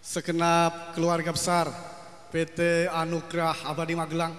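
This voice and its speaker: A man with a Javanese Indonesian accent giving a joking ceremonial speech at a formal event. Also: a little high. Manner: joking, slow, flat delivery. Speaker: district head giving a speech. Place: large echoey hall. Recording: echoey hall with long reverb.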